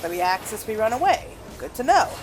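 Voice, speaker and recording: A woman's voice: fairly high pitch, sing-song, a little fast, slight background noise, rough recording. A woman giving casual let's play commentary as a game streamer, thinking aloud and reacting as she plays.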